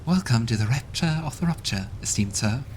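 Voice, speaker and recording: A man's voice: medium pitch, expressive, fairly quick, darkish tone, a little hiss or hum, lightly acted. A man reading a gracious talking gate. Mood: courteous. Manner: courteous, formal greeting. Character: polite talking manor gate, gracious, formal. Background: game music.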